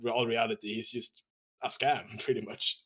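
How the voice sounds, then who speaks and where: A man's voice: medium pitch, flat delivery, normal speed, fairly bright tone, breathy edge. A man from Sweden with a Swedish accent, hosting a casual roundtable podcast and talking with hesitant fillers.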